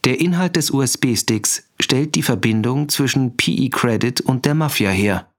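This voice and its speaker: Man, scripted radio drama narration, factual announcer delivery, medium pitch. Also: a little slow.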